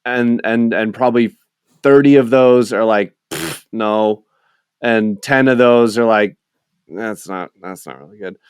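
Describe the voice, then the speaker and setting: Relaxed rambling man, smooth voice. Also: on the low side, a little slow, dry recording, clean studio sound. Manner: relaxed, rambling, lots of filler words. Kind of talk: casual chat.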